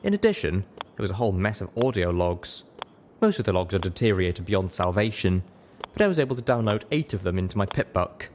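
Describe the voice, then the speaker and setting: Guy reading a first person story, lowish voice, slight noise behind him. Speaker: audiobook reader.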